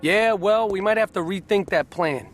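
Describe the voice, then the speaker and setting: An actor playing a wary, streetwise gang informant in a video game, with a hesitant manner. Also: male voice, sits high, normal speed, soft background music, close to an everyday voice. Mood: worried. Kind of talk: video game cutscene acting.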